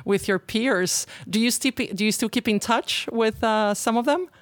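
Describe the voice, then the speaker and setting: A woman hosting a podcast interview, reading a polished intro and asking questions in a friendly, smooth manner. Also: fairly high pitch, normal speed, no room sound. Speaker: podcast host.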